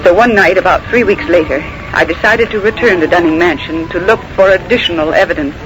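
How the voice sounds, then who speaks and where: An actress playing an earnest, determined secretary in a 1940s radio mystery, speaking with precise intensity. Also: female voice, lowish voice, brisk, lightly acted. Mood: tense, determined. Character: earnest, determined female secretary turned amateur sleuth. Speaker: narrator and character in a radio mystery. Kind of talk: 1940s radio drama acting. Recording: noisy old 1940s radio recording.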